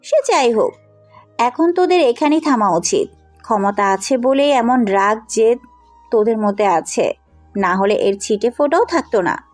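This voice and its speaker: Woman playing a headstrong, high-voiced young woman. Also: expressive, measured pace, darkish tone. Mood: firm, concerned. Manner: blunt, insistent. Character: headstrong, compassionate young woman, outspoken businesswoman daughter. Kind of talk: Bengali audio story acting. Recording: music under the voice.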